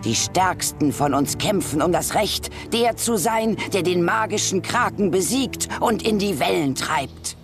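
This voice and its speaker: A proud fantasy-game clan elder with a low woman's voice. She declaims solemnly with lively intonation and a touch of scorn, music playing behind her. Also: a little slow, few pauses. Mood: scornful, proud.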